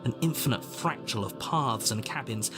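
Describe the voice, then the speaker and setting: A man's voice: mid-range voice, moderate pace, close to an everyday voice. An actor playing an exasperated, sardonic narrator in a video game, wry, theatrical and scolding in his delivery. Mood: ominous narrating. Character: exasperated, sardonic male narrator urging the hero onward. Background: music.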